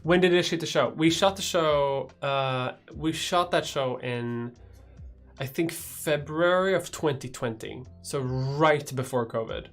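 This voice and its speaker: A woman's voice: low voice, unhurried, faint music behind. A woman in her thirties with a Swedish accent, chatting casually on a livestream with lots of restarts and casual swearing. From Sweden. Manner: rambling, swears casually, frequent restarts and laughs. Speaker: YouTuber hosting a livestream. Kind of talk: casual livestream chat reading chat questions.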